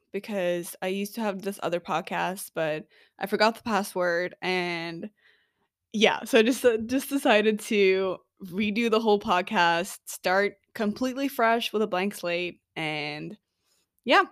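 A woman's voice: mid-range voice, measured pace, no echo, studio quality. A woman in her twenties from Norway hosting her own podcast, chatting casually and upbeat, with lots of "like" and fillers. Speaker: podcast host. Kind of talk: casual solo podcast monologue.

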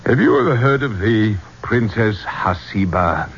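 A man's voice: lowish voice, big pitch swings, measured pace, gravelly, a bit bright, exaggerated voice. An actor playing an elderly, courtly male archaeologist in a 1970s radio drama, speaking theatrically and rhapsodically. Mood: enraptured. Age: older. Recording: muffled, hissy old radio broadcast.

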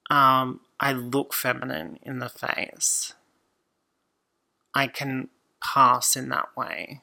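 Bright-voiced woman, gravelly edge. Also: a bass voice, level tone, slow. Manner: thoughtful, hesitant restarts. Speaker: podcast guest. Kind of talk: casual conversation.

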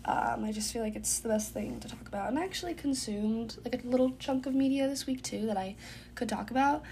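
A woman's voice: on the high side, fairly quick, mellow, a little hiss or hum. A young woman hosting her own podcast in casual, rambling chat, with a congested, phlegmy-sounding voice. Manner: rambling, filler-heavy, chatty. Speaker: podcast host.

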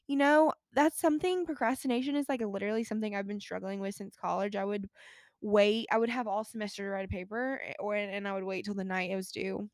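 A woman's voice: medium pitch, no room sound. Chatty young American woman hosting a podcast. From United States. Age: young adult. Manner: chatty, informal, rambling with frequent "like". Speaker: podcast host. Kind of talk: casual stream-of-consciousness podcast intro.

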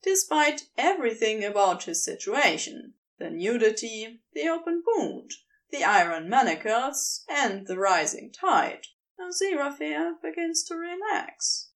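A woman narrates fiction like an audiobook reader. Her voice sits fairly high and moves with lively, wide intonation. She takes her time, very slow and deliberate through each phrase. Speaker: podfic narrator reading fan fiction. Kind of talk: scripted narration, audiobook-style storytelling.

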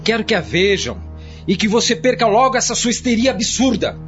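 A stern, hot-tempered father acted by a man gives orders in a high, animated voice. The radio drama recording is dull, with faint music behind. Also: brisk. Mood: angry, commanding. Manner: commanding, emphatic.

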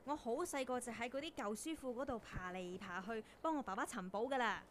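A woman's voice: fairly high pitch, a little fast, slight background noise, lightly acted. A woman acting in a Cantonese radio drama as a perky, plucky young intern, chatty, blunt and full of exclamations. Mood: spirited. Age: young adult.

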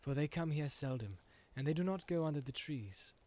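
A steady, solemn ranger leader, voiced by a man reading aloud, measured and serious in every line. The voice stays close to the reader's own, level and unhurried. It is a storybook hero spoken with quiet authority. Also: a little high, lightly acted. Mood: warning.

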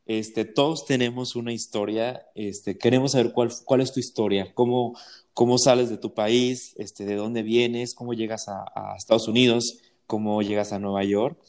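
A man's voice: mid-range voice, steady tone, moderate pace, a little mellow. A man interviewing a guest, asking questions steadily and using "este" as a filler.

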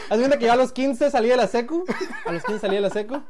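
A man's voice: very high-pitched, fairly quick, a crowd in the background. A man in his late twenties from Sinaloa with a Mexican accent, a guest telling his story in a loose, laughing way, restarting phrases and acting out quotes. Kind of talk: casual storytelling chat.

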